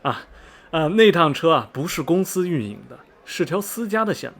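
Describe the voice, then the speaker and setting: A man's voice: fairly high pitch, lively intonation, moderate pace, fairly bright tone. A man playing a chatty, folksy middle-aged ticket clerk in a radio drama, rambling and exclaiming colloquially. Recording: faint background noise and ambience.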